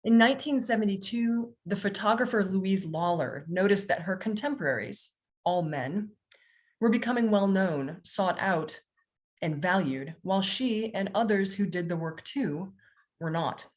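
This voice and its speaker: A woman, a history professor, giving a prepared talk as a panelist over a video call, in a measured, earnest way. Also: mid-range voice, animated.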